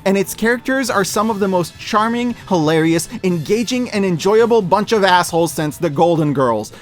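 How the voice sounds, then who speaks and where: High-voiced, animated man reviewing media. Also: moderate pace. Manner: emphatic, hyperbolic, informal with swearing. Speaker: video essayist reviewing media. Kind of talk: opinionated scripted review narration.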